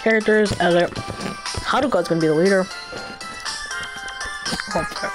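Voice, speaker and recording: A woman's voice: medium pitch, a little slow, music in the background, poor audio. A woman streamer giving casual, slangy gameplay commentary with plenty of swearing.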